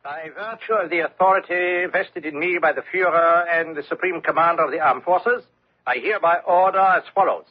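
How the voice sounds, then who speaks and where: An actor playing an officious, accusing German army lieutenant in an old-time radio drama, speaking insistently. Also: male voice, fairly high pitch, moderate pace, husky. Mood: stern, official. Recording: dull old radio recording.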